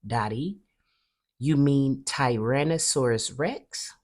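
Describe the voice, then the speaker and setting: Woman as male school photographer, storybook, grouchy, impatient, curt, low husky tone. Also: takes it slowly, a little mellow, exaggerated voice. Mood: questioning. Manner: curt, exasperated. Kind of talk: children's storybook read-aloud.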